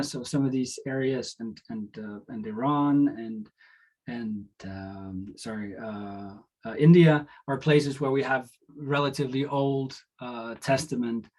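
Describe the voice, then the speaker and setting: A man's voice: medium pitch, a little mellow. A man whose first language is Danish, a doctoral researcher giving an academic lecture over slides, often hesitating with fillers and restarts.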